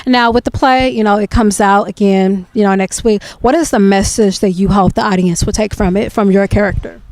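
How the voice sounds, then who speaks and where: A woman's voice: mid-range voice, steady tone, a little fast, darkish tone, no echo. A woman hosting an interview show, upbeat and chatty, with many fillers. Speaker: host. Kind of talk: casual interview.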